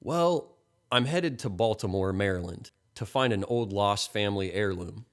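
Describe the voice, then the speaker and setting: A man's voice: fairly low pitch, animated, moderate pace, natural voice. A man voicing a nervous, awkward, blunt young man in a narrated story. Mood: awkward, calmer.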